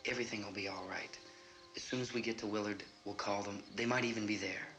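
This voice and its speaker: An actor playing an earnest young boyfriend in a 1960s horror film, coaxing and insistent. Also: male voice, fairly low pitch, steady tone, quick pace, a bit bright, slight background noise, lightly acted. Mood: reassuring. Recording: old film soundtrack with music.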